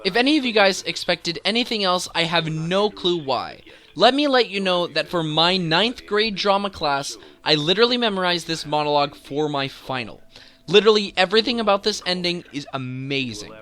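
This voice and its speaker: A man's voice: high-pitched, animated, moderate pace, pushed and bright. A man hosting a video game top-ten countdown, speaking with emphatic, enthusiastic commentary and dramatic build-ups. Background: music.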